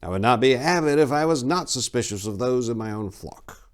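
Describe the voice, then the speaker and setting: A man voicing an aged, suspicious monastery abbot with a stern, probing, measured manner in a fantasy audiobook. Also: mid-range voice, lively intonation, pitched above the performer's own voice, natural voice. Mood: stern suspicion. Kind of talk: dramatic fantasy audiobook reading.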